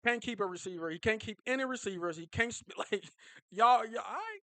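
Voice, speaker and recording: A young man ranting about his team, high-pitched and bright, animated and emphatic, often repeating a phrase to drive it home. He speaks close to the mic, and the sound is dry. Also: a little fast, dry recording. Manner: animated, repetitive, laughing, emphatic. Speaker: sports fan vlogger. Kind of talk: casual fan reaction rant.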